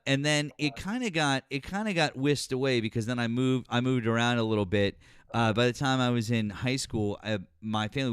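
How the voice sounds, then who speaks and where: A man's voice: mid-range voice, a bit bright, clean tone, no room sound, clean studio sound. A man from Massachusetts hosting a live stream in a casual chat. He jokes, restarts his sentences often and speaks in a mostly general American accent with light Boston traces. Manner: halting, many restarts, joking.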